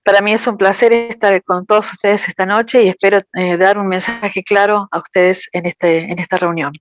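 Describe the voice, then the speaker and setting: A woman interpreting a talk, careful and measured. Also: mid-range voice, steady tone, no room sound, highs cut off. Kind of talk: consecutive interpretation.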